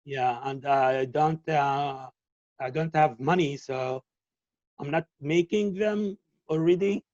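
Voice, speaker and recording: A man whose first language is Arabic, answering interview questions about filmmaking in a halting way and often repeating words. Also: on the high side, darkish tone, no echo, highs cut off. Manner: halting, repeats words while searching for them.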